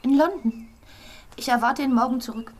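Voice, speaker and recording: An actress playing an anxious, suspicious wife in a German radio drama, asking measured, probing questions. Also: female voice, high voice, a little hiss or hum, lightly acted. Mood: guarded, probing.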